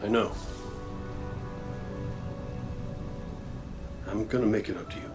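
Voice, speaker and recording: A man's voice: lowish voice, rapid-fire, natural voice. A man voicing a wisecracking renegade robot gunslinger in a video game, talking in a casual, wry, folksy way. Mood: playfully conciliatory. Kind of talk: video game cutscene acting. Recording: roomy echo, loud music behind.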